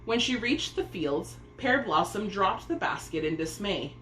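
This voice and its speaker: A woman's voice: medium pitch, animated, a little slow, a bit bright. A woman reading a children's story aloud, narrating expressively in a room with echo and faint noise. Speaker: storybook reader.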